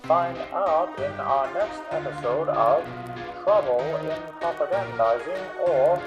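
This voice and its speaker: Casting: theatrical radio serial announcer, higher-pitched, unhurried, teasing parody with cliffhanger suspense. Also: male voice, highs cut off. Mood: melodramatic suspense. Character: plucky old-time radio serial announcer, melodramatic teasing narrator. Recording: band-limited old-time radio sound, loud music.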